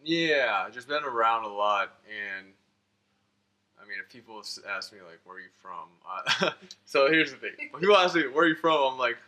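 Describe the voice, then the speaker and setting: A man's voice: mid-range voice, lively intonation, moderate pace, bright, forceful tone. An American man chatting casually as an interview guest, rambling along informally with lots of filler "like". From United States (Florida and Georgia).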